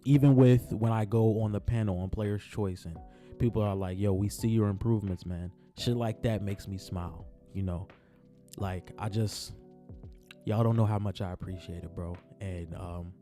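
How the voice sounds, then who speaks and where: A man talking casually about basketball in his own vlog, his voice raspy from just waking up, laid-back and repeating himself as he speaks from the heart. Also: lowish voice, mellow. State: raspy morning voice. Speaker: sports vlogger. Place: on his way to work.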